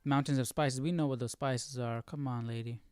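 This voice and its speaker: A man's voice: medium pitch, steady tone, fairly quick, loud music behind the voice. A man hosting a live Bible study, thinking aloud in casual commentary and puzzling through questions as he goes.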